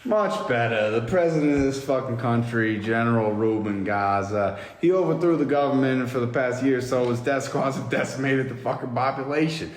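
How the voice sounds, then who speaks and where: A man's voice: mid-range voice, brisk, few pauses, close to an everyday voice. Man reading as a pushy mercenary recruiter, echoey. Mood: pushy, heated. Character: pushy, foul-mouthed male mercenary recruiter, impatient and shady. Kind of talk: amateur table read of a film script. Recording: echoey reverberant room, background noise.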